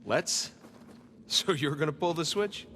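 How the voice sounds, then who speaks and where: A man's voice: a little high, animated, measured pace, sharp tone, slight background noise. A man acting as a curious, wry treasure hunter in a video game, with casual, dry banter. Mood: puzzled, intrigued. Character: wry, curious adult male treasure hunter, younger brother. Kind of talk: naturalistic cinematic game dialogue. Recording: cinematic music behind dialogue.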